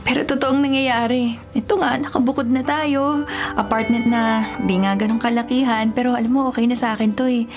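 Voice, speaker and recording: A woman's voice: on the high side, steady tone, a little fast, natural voice. A young woman with a Filipino accent playing an earnest, devoted daughter in an emotional radio drama. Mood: tender, playful. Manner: emotional, pleading and tender. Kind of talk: Tagalog radio drama acting. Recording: radio drama with music underneath.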